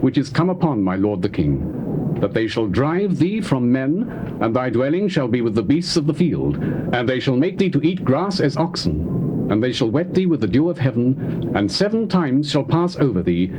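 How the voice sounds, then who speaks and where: An earnest, reverent prophet played by a man speaks with formal, measured declamation in a scripture drama. The middle-pitched voice swings expressively, over a clearly noisy old tape. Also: sing-song, natural voice. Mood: solemn warning. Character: reverent, earnest Hebrew prophet counselling a king. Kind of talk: dramatized scripture reading, theatrical acting.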